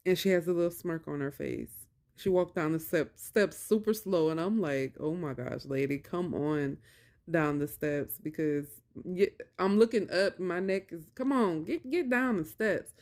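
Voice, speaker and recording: Lowish voiced woman, chatty storytime vlog, real emphatic, repeats stuff to make her point. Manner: emphatic, animated, repeats phrases for stress; conversational, colloquial phrasing. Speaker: video creator talking to viewers about narcissistic abuse. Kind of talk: casual personal storytelling to viewers.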